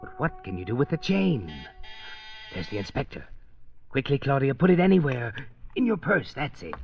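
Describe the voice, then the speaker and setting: A man's voice: medium pitch, sing-song, normal speed. An actor playing an ominous, all-knowing narrator who needles a guilty woman in a 1940s radio mystery, menacing and insinuating. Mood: sinister, coaxing. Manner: menacing, insinuating, clipped urging. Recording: dull old radio recording with music behind.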